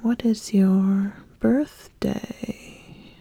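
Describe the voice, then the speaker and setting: Ambitious, appraising witch played by a woman, ASMR roleplay; breathy, mellow whisper, deliberate and very unhurried. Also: medium pitch, fairly even intonation, dry recording, full character voice. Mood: probing, reserved. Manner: slow, deliberate, measured, with long pauses. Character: ambitious, appraising witch interviewing a would-be apprentice.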